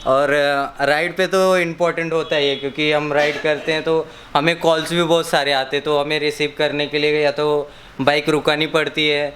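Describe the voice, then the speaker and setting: A man's voice: on the high side, little pitch movement, normal speed, a bit bright. A man with a slightly raised voice chats in a casual vlog style. He drops English words into informal speech. Light background noise sits under the recording. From India. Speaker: motorcycle vlogger.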